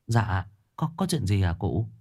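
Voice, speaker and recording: A man's voice: sing-song, unhurried, gravelly, dark tone, slightly rough, full character voice. A man voicing a frantic middle-aged village mother who stammers in panic. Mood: hurried. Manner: shrill, anxious, stammering.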